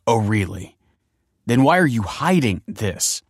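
A man's voice: medium pitch, big pitch swings, measured pace, dry recording, clean studio sound, voice pushed up. A man voicing a shocked, angry young husband who confronts his wife with incredulous accusations in a story reading. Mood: accusing.

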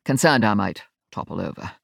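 A woman's voice: a bass voice, lively intonation, a little fast, gravelly, dry recording, studio quality, voice pushed down, full character voice. A woman narrator voicing an awkward, apologetic man who speaks through a drone in an audiobook story.

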